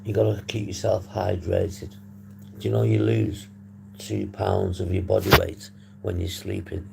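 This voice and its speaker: A man hosting a casual livestream, hoarse and husky, rambling and swearing as he reads the chat. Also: low voice, fairly even intonation, measured pace. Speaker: livestream host. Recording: noisy background hum.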